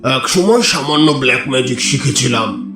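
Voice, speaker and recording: A man playing a gloomy older man who senses approaching evil, speaking gravely in a horror audio drama. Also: mid-range voice, lively intonation, close to an everyday voice. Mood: grave foreboding. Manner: grave, ominous, slow foreboding delivery. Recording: echoey, with music underneath. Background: music.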